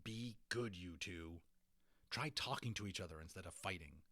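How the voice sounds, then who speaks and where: A man's voice: deep voice, normal speed, bright, forceful tone, no echo, clean studio sound. A man voicing an exasperated storybook schoolboy, speaking in an earnest, insistent way. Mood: urgent, reasoning. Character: exasperated schoolboy scolding and reasoning with tiny companions.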